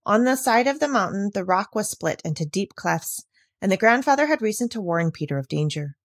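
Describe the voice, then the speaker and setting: A woman reads a classic children's novel aloud at a steady, expressive pace. Her voice sits in a middle register. The recording is dry and close, with no room sound. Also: mid-range voice, dry recording. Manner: measured, expressive reading. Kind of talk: storybook reading aloud.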